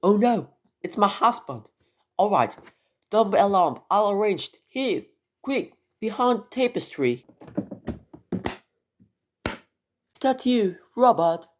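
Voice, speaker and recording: A man's voice: sits high, expressive, takes it slowly, pauses often, slight background noise, pitched above the performer's own voice. A man voicing a cunning, defiant lady of the manor in a melodramatic radio drama, theatrical and indignant. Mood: hushed alarm.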